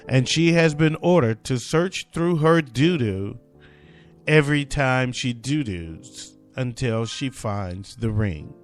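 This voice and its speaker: A man hosting his own podcast, commenting casually on the news, chatty and joking, with lots of "uh" fillers. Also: fairly high pitch. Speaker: podcast host.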